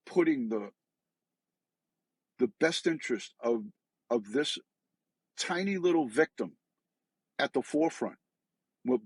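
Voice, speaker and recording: Husky, emphatic middle-aged man giving opinion. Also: mid-range voice, dry recording. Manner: earnest, emphatic, disapproving. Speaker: retired law enforcement professional, true-crime commentator. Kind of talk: opinion commentary to camera.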